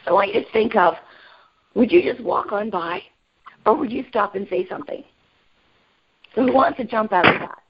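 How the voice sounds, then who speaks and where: A woman with a raspy voice today, leading a motivational group call in an upbeat, energetic way and asking the group questions. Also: medium pitch, normal speed, pauses often, highs cut off, a little hiss or hum. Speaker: team call leader.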